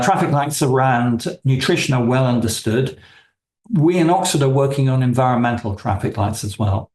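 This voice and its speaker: Slow-talking man on a call. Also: medium pitch, a little mellow. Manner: measured, hesitant, many fillers. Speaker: guest speaker. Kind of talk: answering audience questions. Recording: echoey room.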